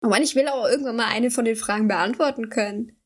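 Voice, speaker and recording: A woman's voice: on the high side, fast talker. A young woman, chatting casually as co-host of a podcast. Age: young adult. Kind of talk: casual chat.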